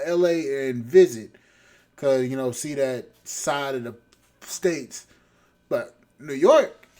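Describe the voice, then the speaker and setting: A man hosting a podcast, reacting to a dating show clip with crude, mocking commentary. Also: fairly high pitch. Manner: mocking, crude, loud laughing outbursts.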